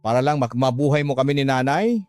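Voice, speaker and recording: A man's voice: medium pitch, quick pace, darkish tone, no echo, close to an everyday voice. A man playing a devoted, accepting young student sibling, speaking earnestly and tenderly in a radio drama.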